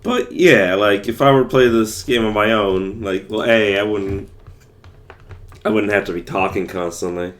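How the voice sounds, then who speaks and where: A hoarse young man who is losing his voice, rambling casually with many fillers while he streams a game. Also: lowish voice, moderate pace. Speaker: livestreamer playing a game. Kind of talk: casual gameplay commentary.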